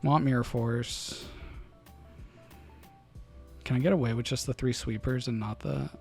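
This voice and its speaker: A man streaming a card game, thinking through his plays out loud to his chat and breaking into loud excited outbursts. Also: mid-range voice, unhurried, vocal fry, husky, soft background music. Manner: muses over choices, bursts into loud excited laughs. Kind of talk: thinking aloud while playing, talking to chat.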